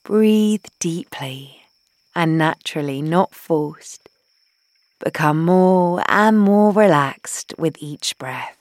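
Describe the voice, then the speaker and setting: A woman with a fairly low voice guides a meditation very slowly. Her delivery is gentle and soothing, with long pauses and soft, breathy phrase endings. The sound is close and dry. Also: animated, no echo. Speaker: guided meditation leader, founder.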